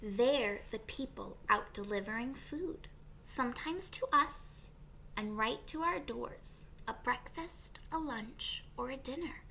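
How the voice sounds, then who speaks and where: A woman reading a picture book aloud to young children as a teacher would, expressively, with pauses and questions for her listeners. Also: a little high, lively intonation, gravelly, bright, forceful tone, a little hiss or hum, poor audio.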